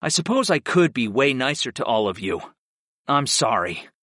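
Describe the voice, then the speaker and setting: Male fantasy audiobook hero, acted by a narrator: commanding, blunt, medium pitch, close dry sound. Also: very animated, moderate pace, a bit bright, dry recording. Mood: apologetic. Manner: commanding, blunt, matter-of-fact.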